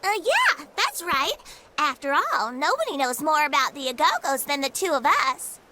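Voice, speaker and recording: An actress playing a cocky, excitable young girl in a game cutscene. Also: high-pitched, normal speed, noisy background. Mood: boastful. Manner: boastful, excitable. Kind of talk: video game cutscene acting.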